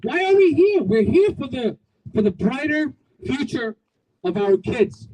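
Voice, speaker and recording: A man's voice: extremely high pitch, a little mellow, rough recording. A man from Bangladesh giving an emphatic outdoor community speech, rallying the crowd with repetition and jokes. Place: outdoors at a street corner.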